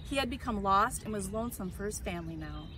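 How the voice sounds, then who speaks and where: A woman reading a children's storybook aloud in an expressive, emphatic way. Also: mid-range voice, fast talker. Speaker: story reader. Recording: clear background noise.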